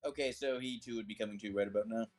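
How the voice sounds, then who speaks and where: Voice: mid-range voice, racing, soft background music. A player in a tabletop game speaking casually and hesitantly, with many fillers.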